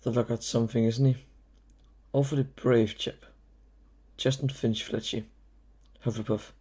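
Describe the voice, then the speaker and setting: A man's voice: mid-range voice, steady tone, a little slow, warm tone, slightly rough. A man playing a friendly, chipper, posh schoolboy in an audio drama, polite and chummy. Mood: eager.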